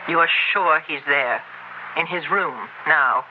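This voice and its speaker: A woman's voice: low-pitched, measured pace. An actress playing an anxious, fearful woman with an urgent, hesitant manner in a 1970s radio drama. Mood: uneasy. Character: anxious, fearful woman, reluctant accomplice in horror. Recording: noisy, muffled 1970s radio broadcast.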